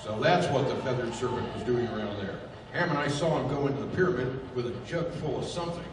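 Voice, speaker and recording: A man playing a plain-spoken, excitable adventurer sidekick in an old-time radio serial. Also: mid-range voice, quick pace, natural voice. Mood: urgent, puzzled. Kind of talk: 1930s radio adventure acting. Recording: echoey hall from far off, noisy.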